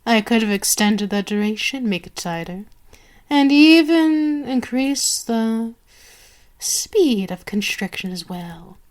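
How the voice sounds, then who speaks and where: A woman voicing a sadistic, possessive vampire queen in an ASMR roleplay, with a haughty, teasing, drawn-out delivery. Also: fairly high pitch, lively intonation. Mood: cruel, commanding.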